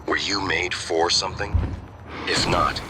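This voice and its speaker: Reflective game AI, deep voice, careful, earnest, questioning, ambient hum behind. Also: male voice, fairly even intonation, measured pace. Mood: reflective, probing. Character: earnest, reflective male artificial intelligence guide. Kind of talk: video game voice acting.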